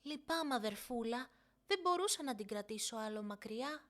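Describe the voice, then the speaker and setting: A woman's voice: on the high side, animated, fairly bright tone. A woman voicing a small, mischievous younger sister in a children's storybook. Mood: apologetic. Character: mischievous little sister, small girl, playful and apologetic.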